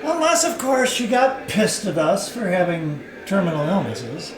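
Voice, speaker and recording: A man acts out a tipsy, giddy man from a novel, full of morbid amusement. The voice is high and swings widely, with giggles, sighs and exclamations. It sounds in an echoey room. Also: very animated, unhurried, a crowd in the background. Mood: wry amusement.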